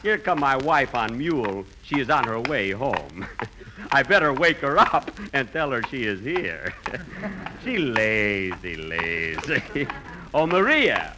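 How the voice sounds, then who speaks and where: An actor playing a lazy, jolly villager who speaks broken English with a put-on Spanish accent and giggles constantly, in 1940s radio comedy style. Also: male voice, medium pitch, very animated, takes it slowly. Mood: giggly. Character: lazy, jolly Latin American villager man. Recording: noisy old radio broadcast.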